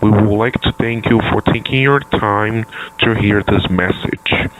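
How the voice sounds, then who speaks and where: A low male voice, a man acting as a solemn official in a disaster drama. He delivers a formal, measured public address over faint noise. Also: rough recording.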